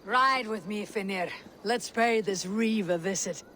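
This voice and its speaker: A woman playing a stern, resolute Viking raider leader in a game, speaking in a blunt, measured, commanding way. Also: mid-range voice, a bit bright, a little hiss or hum, natural voice.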